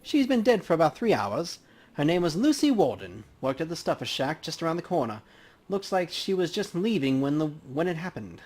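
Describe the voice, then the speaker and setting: Casting: man playing a talkative, folksy game coroner, higher-pitched and very animated in delivery. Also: a little fast. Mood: chatty, upbeat. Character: chatty, folksy male coroner at a crime scene. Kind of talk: acting out video game dialogue.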